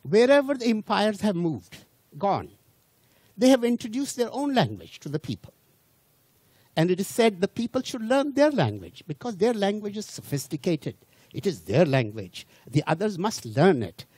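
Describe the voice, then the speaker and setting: A man from India, not a native English speaker, giving an unhurried public lecture to an audience and restarting and repeating words as he goes. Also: sits high, big pitch swings, darkish tone, breathy edge, no room sound.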